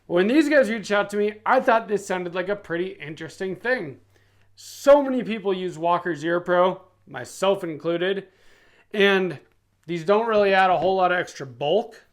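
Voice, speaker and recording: A man's voice: high voice, animated, normal speed. A middle-aged man reviewing gear for his channel in a casual, chatty way. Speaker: product reviewer and YouTube channel host.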